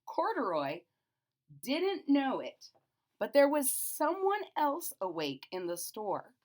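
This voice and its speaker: A woman storyteller doing an expressive children's read-aloud, explaining things simply as she goes. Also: sits high, takes it slowly.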